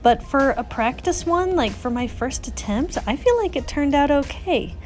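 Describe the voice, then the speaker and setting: A woman's voice: sits high, expressive, normal speed. A woman giving a casual, chatty and self-deprecating voice-over for a craft tutorial. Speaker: craft vlogger, host. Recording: music under the voice. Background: music.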